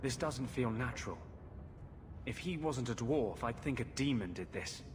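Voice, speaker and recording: A man's voice: mid-range voice, lively intonation, moderate pace, natural voice. A man playing a grave, troubled healer mage in a video game cutscene. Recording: noisy, long hall reverb.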